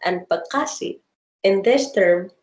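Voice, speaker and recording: Slow, careful woman in an echoey room. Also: mid-range voice, a little mellow. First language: Indonesian. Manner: thoughtful, explains with examples, many ums. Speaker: researcher presenting her dissertation. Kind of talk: academic Q&A on a video call. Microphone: video call.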